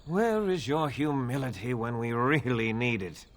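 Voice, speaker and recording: An actor playing a prim, long-suffering shopkeeper with sardonic sales patter in a fantasy video game. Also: male voice, mid-range voice, measured pace, close to an everyday voice. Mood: exasperated. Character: prim, exasperated male shopkeeper of an armor emporium.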